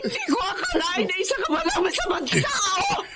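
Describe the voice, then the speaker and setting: Anguished mom played by a woman, high and wildly swinging, heavy noise behind. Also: wildly swooping pitch, moderate pace, heavily acted. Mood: grief-stricken. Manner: anguished, pleading. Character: grieving mother, wife of the cop.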